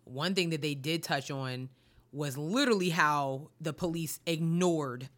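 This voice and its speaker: A woman co-hosting a casual chat, with a lowish voice that still sounds bright. She drags out her words and hammers them one by one when she gets worked up. Her talk is relaxed and conversational. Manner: emphatic, slows down to stress indignant points. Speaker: podcast co-host. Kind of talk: casual chat about news.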